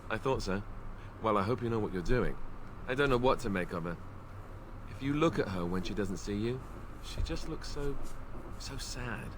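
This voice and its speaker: Man playing a wary, low-voiced fantasy companion. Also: lively intonation, normal speed, noisy background, lightly acted. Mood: doubtful, wry. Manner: questioning, wry, conversational. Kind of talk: fantasy video game dialogue.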